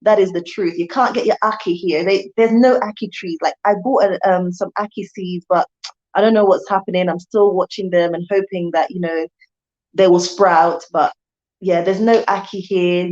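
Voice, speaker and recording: A woman's voice: medium pitch, fairly even intonation, moderate pace, darkish tone, lo-fi sound. Chatty woman hosting a livestream. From Jamaica. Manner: chatty, repeats herself, addresses viewers as guys. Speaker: channel host. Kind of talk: casual livestream chat.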